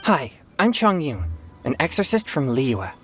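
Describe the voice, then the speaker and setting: A man's voice: fairly high pitch, very animated, unhurried, faint music behind. A man voicing an earnest, reassuring teenage boy exorcist in an anime game.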